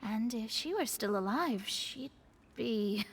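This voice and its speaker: A young astrologer mage in a video game. Her voice sits a little high, with earnest, articulate, philosophical phrasing and a proud formality. Soft music runs behind her. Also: expressive, slow. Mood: wry, informative.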